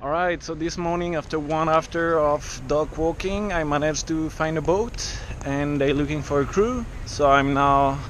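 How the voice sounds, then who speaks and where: A man in his thirties from France with a French accent, casually narrating a solo sailing trip, relaxed and chatty with many fillers. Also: on the high side, moderate pace, hardly any pauses. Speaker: solo sailor vlogger. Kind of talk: casual video diary. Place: small sailboat cockpit at sea, outdoors. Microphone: action camera held at arm's length. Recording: wind and sea noise on action camera. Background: wind and water noise.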